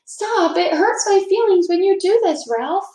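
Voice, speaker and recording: A woman's voice: very high voice, expressive, unhurried, warm tone, reverberant, voice pushed up. A woman reading a storybook as an earnest young schoolgirl, hurt but brave, with a pleading, emphatic delivery.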